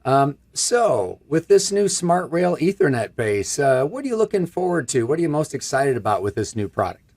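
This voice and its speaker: A man's voice: on the high side, very animated, moderate pace, darkish tone. Man, 50s, scratchy voice, upbeat, chatty, live stream host, product presentation. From Michigan. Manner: upbeat, chatty, gestures while talking. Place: room with a brick wall backdrop.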